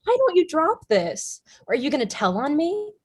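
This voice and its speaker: A woman's voice: high-pitched, big pitch swings, moderate pace, darkish tone. A young woman in a staged play reading, playing a nosy, evasive girl with a flippant, deflecting manner.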